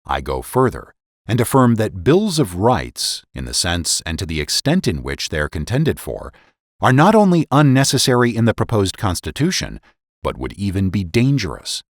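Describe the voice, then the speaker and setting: A man's voice: lowish voice, wildly swooping pitch, moderate pace, no room sound, studio quality. A man narrating a historical political essay as a dramatic reading, formal and oratorical, with emphatic phrasing. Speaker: podcast host and narrator.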